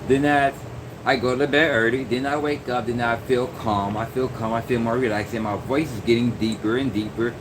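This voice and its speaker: A young man talking in a loose, rambling vlog monologue, full of fillers and restarts. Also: lowish voice. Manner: meandering, many fillers and restarts. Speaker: vlogger. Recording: noisy background hum.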